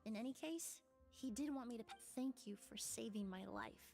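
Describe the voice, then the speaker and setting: A gentle thoughtful perfumer lady in a game, slightly high voice, measured and articulate, music behind. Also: vocal fry. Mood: reflective. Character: composed, reflective young woman perfumer, gentle and thoughtful. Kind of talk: scripted video game dialogue.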